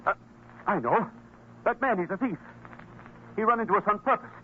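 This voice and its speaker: A troubled middle-aged father in an old radio play. He speaks earnestly and haltingly, with a widely swinging pitch. The recording is tinny and noisy. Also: expressive. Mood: alarmed, shouting. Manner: hesitant, exclamatory, earnest. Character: troubled father with a gambling weakness, excitable yet merciful. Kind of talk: old-time radio drama acting.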